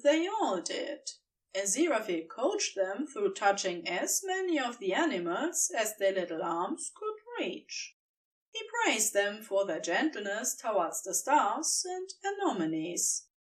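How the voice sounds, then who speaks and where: A woman's voice: medium pitch, big pitch swings, measured pace, recorded from a distance, rough recording. A woman reading scripted story narration as the narrator of an audio fiction piece.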